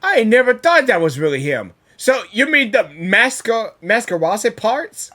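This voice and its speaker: A woman giving casual, excitable, rambling live reaction commentary as a gaming host. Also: fairly high pitch, measured pace.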